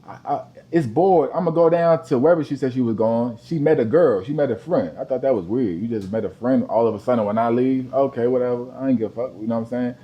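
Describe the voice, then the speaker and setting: A man, co-hosting a podcast. He tells profane stories, dropping to a low mutter and then breaking into loud outbursts. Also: quick pace, hardly any pauses, highs cut off. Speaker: podcast co-host. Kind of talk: casual chat, storytelling.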